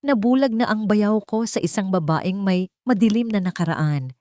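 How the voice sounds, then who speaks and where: A woman's voice: mid-range voice, moderate pace, darkish tone, no echo, clean studio sound, pitched above the performer's own voice, natural voice. A woman voicing a manipulative sister-in-law who pleads in a falsely pitiful way. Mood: pleading.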